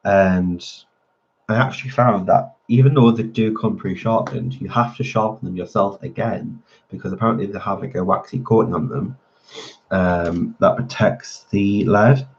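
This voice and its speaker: Deep-voiced man with a slight cold. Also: normal speed, dull audio. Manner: chatty, answering viewer comments. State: getting over a cold, slightly nasal. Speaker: host of a colouring channel. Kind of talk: casual live-stream chat while colouring.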